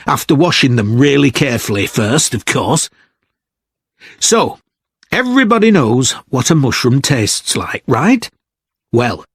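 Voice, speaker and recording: A man playing a practical, encouraging guide who gives a clear, measured, scripted instructional talk. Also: mid-range voice, no room sound, studio quality, exaggerated voice. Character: practical, encouraging male guide on mushroom foraging. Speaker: speaker giving an informational talk.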